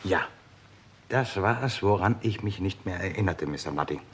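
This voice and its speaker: An actor playing a polite, inquisitive gentleman witness in a German radio crime drama, with a husky voice and a thoughtful, courteous manner. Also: low-pitched, lively intonation, normal speed. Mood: intent.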